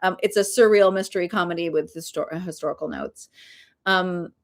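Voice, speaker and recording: A woman's voice: on the low side, normal speed. Chatty woman recommending books. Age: middle-aged. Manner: chatty, with frequent ums and self-mocking asides. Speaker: author talking to her readers. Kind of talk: casual book recommendation talk.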